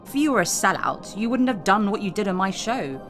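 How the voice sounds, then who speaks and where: An actress playing a wealthy female media star who flatters breezily, with music underneath. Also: mid-range voice, a little fast, lightly acted. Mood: flattering. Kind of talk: audio drama acting.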